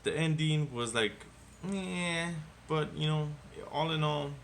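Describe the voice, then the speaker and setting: A man co-hosting a casual movie review podcast, talking in an animated, rambling way and swearing often. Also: fairly high pitch, takes it slowly, creaky, slight background noise. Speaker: podcast co-host.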